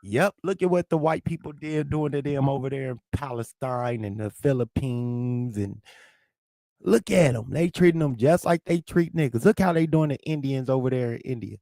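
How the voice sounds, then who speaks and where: A man with a noticeable lisp and a St. Louis accent rants on his livestream, answering chat comments in a confrontational, profane way. His voice sits mid-range with a gravelly edge. The sound is dry and close, with no room echo. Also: mid-range voice, normal speed, warm tone. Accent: St. Louis, American South Midwest. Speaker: livestream host.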